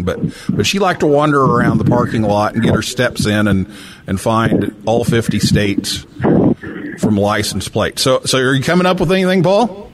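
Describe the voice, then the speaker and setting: A man hosting a live radio talk show from a hotel, talking in a halting way with many fillers and restarts. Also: mid-range voice, noisy background. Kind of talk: live call-in show banter. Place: hotel room during a convention.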